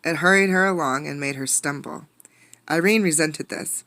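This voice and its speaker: A woman reading a storybook aloud as a teacher, expressively, pausing to ask listeners questions. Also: sits low, fairly bright tone, no room sound. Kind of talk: interactive storybook read-aloud.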